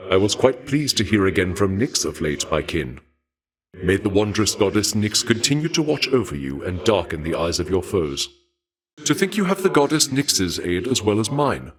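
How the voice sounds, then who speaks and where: A very low voice that rises and dips expressively a game's god of war. He is courtly and formal, savouring bloodshed with polite, theatrical menace. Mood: composed admiration. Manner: formal, courtly, measured, savouring talk of violence. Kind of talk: theatrical video game character dialogue.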